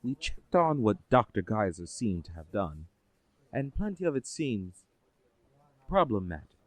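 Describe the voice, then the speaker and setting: A man playing a composed superhero agency official in an audio drama, speaking in a measured, formal and assured way. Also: fairly low pitch, big pitch swings, darkish tone, dry recording. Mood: composed, businesslike. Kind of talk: scripted audio drama acting.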